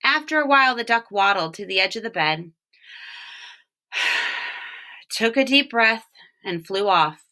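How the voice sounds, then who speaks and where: A woman reading a children's story aloud expressively, with deliberate emphasis on key words. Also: mid-range voice, animated, sharp tone. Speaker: storybook reader, teacher reading for home learning.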